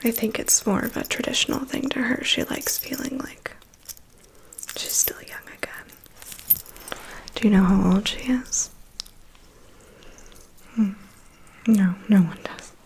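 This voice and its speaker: A woman whispers softly through an ASMR roleplay as a vampire's assistant. Her delivery is very slow and attentive, with a gravelly crackle at the edges of her words. She sounds reassuring and methodical, like someone carrying out a careful inspection. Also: medium pitch, fairly even intonation. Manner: soft, unhurried, gently attentive with reassuring murmurs.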